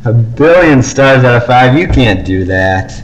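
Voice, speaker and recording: A man co-hosting a podcast, giving casual, measured reviews in a conversational, hedging way. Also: medium pitch, lively intonation, highs cut off, slight background noise. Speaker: podcast co-host.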